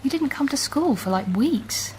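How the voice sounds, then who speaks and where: A woman's voice: high-pitched, animated, normal speed, a little mellow, poor audio. An actress playing a chatty woman in her thirties from Leeds and Bristol, who rambles and laughs easily, in a radio drama. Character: chatty woman living in London, warm and talkative. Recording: radio drama with background noise.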